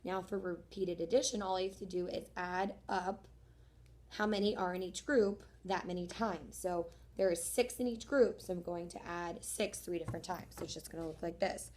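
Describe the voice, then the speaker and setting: A young woman teacher speaks to her third graders with a voice worn hoarse by the day. She is friendly and encouraging, and she explains each step slowly and plainly. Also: on the low side, steady tone, a little mellow. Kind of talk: homework explanation video for students.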